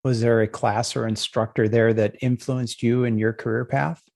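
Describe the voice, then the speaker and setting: A man's voice: medium pitch, flat delivery, normal speed, creaky, darkish tone. A man hosting an interview podcast, asking his guest short follow-up questions. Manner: brief, prompting questions. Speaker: podcast host.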